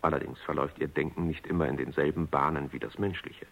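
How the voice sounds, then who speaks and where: Deep, husky male radio narrator. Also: fairly even intonation, fairly bright tone. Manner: measured, factual. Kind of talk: scripted radio drama narration. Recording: narrow-band vintage radio recording.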